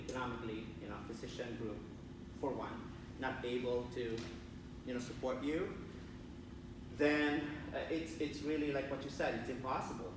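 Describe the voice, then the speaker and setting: A man speaks as a conference presenter in an academic setting. His mid-pitched voice comes from far off the microphone in a strongly reverberant room, with clear noise behind it. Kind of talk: academic presentation and question answering. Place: conference room, picked up from a distance. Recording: very echoey room, distant mic, clear background noise.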